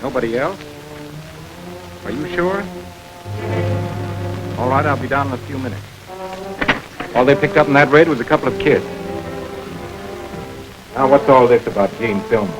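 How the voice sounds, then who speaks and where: A man's voice: medium pitch, music in the background, lightly acted, low-quality recording. A man playing a stern radio-drama police investigator. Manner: firm, measured interrogating. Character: stern middle-aged male police investigator. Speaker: police lieutenant. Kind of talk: 1940s radio acting. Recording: hissy old radio recording.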